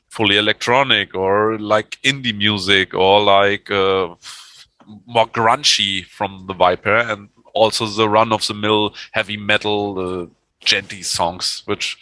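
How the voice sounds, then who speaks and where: Band musician, male, native German speaker. Bright, sharp tone, casual interview talk, close dry sound. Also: lowish voice, dry recording. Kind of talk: casual podcast chat.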